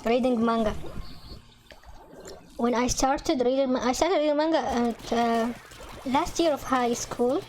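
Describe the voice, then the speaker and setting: A woman's voice: sits high, a little mellow, a little hiss or hum. Young woman with an Arabic accent. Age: young adult. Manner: halting, many restarts and fillers. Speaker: gaming channel host. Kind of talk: casual chat over gameplay.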